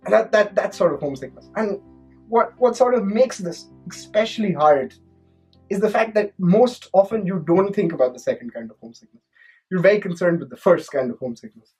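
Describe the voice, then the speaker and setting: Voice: medium pitch, lively intonation, fairly quick, a little mellow, husky, faint music behind, rough recording. A young international student from India, reflecting thoughtfully and laughing lightly. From Pune, India. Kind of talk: documentary interview.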